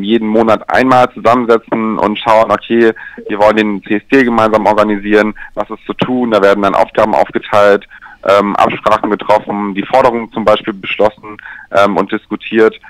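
A man explaining things in a measured, structured way as a guest in a radio telephone interview. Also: on the low side, no room sound, poor audio. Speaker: interview guest, LGBT pride event organizer. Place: remote, by telephone.

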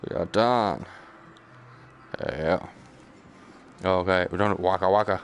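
A man's voice: deep voice, slow. A British man giving casual live gaming commentary, grumbling sarcastically with mild swearing over background noise. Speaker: gaming streamer.

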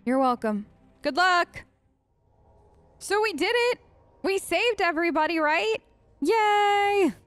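A woman's voice: extremely high pitch, expressive, takes it slowly, long pauses, fairly bright tone, no room sound. A woman doing casual, improvised role-play game commentary as a let's play host, chatty, earnest and rambling.

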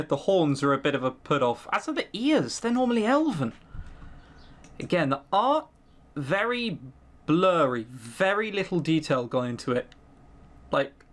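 A man's voice: on the high side, fairly bright tone. A man giving let's-play commentary, reading game text aloud and breaking off for dry, sweary, critical asides.

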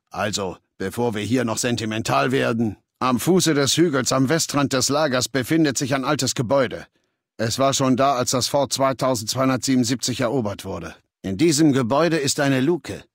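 Video game legion leader: ruthless, commanding male, gravelly, recorded very dry and close. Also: mid-range voice, a bit bright, no room sound, heavily acted. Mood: cool, commanding. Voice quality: gravelly, husky. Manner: authoritative, deliberate, imperious. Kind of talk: dramatic video game voice acting, German dub. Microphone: close, dry studio recording.

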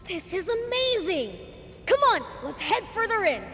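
A pint-sized demon prince from a video game. His voice is high and very lively in pitch. He is brash and cocky, bossing everyone around with little patience. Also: unhurried, soft background music, full character voice. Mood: excited. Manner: brash, impatient, bossy. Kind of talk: video game cutscene acting.

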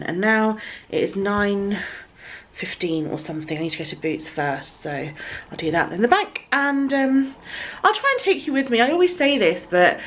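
A woman's voice: medium pitch, a bit bright, slight background noise. A tired woman, chatting casually to a handheld camera about her busy day and listing errands. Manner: chatty, breathless lists of errands. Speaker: vlogger. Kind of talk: casual vlog chat to camera. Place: bedroom at home, later a parked car. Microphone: handheld compact camera at arm's length.

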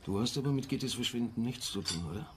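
A man playing a tender but evasive father in a crime radio play, halting and repeating himself. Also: mid-range voice, background noise, lightly acted. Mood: uneasy.

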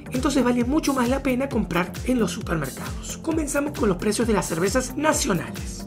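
A woman narrating a travel vlog voice-over, briskly listing supermarket prices one after another. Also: fairly high pitch. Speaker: travel vlogger. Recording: voice-over with music underneath.

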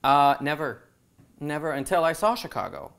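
A man's voice: fairly high pitch, animated, normal speed, gravelly, a bit bright, smooth. A man in his thirties from San Diego, chatting as a guest in a small studio, hesitant with fillers and frequent laughs. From San Diego, USA. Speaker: guest singer. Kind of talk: casual interview chat.

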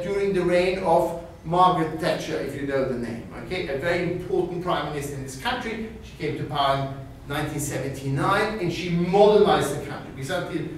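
A middle-aged man from Austria, giving a university finance lecture in a small classroom, animated and checking in with "okay?". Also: high voice. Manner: animated, gestures widely, peppers talk with "okay?". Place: small classroom with screen and whiteboard. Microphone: far camera. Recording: very echoey room, from far off, faint hiss.

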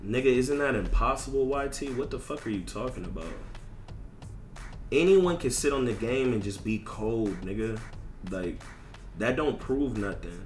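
A man's voice: medium pitch, animated, moderate pace, a little mellow, faint music behind. A man ranting casually as a gaming streamer, blunt, emphatic and repetitive, with lots of slang and swearing. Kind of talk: casual livestream rant over gameplay.

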